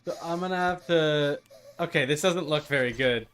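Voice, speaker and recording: A man's voice: a little high, moderate pace, fairly bright tone. A man giving casual live commentary as he streams a video game and reads its prompts.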